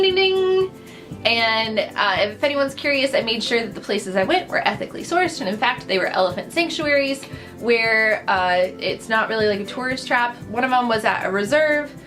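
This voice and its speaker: A woman's voice: on the high side, animated, normal speed, fairly bright tone. A young woman who grew up in the Netherlands, with Dutch as her first language, chattily listing facts about herself in a casual vlog, upbeat and full of fillers and asides. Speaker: vlogger. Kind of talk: casual list of personal facts to camera. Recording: with clear background music.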